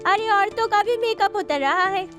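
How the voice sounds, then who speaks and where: A woman performs a polite but fretful groom's mother in an animated family tale. Her voice is pushed very high, with music clearly underneath. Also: moderate pace. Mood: anxious. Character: polite, fretful older woman travelling to son's wedding. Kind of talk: animated moral story voice acting.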